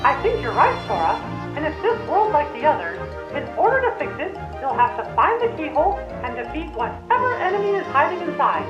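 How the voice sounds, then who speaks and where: A small cartoon mouse king speaks in a high, squeaky American falsetto. His delivery is gentle and brave, swooping widely in pitch as he encourages his friends in a video game. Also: huge pitch swings, fairly quick, highs cut off, music in the background, heavily acted. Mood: earnest, thoughtful. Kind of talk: animated video game voice acting.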